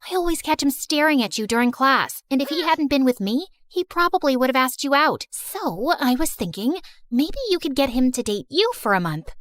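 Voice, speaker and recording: Woman playing a scheming, high-voiced popular girl. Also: a bit bright, dry recording, clean studio sound.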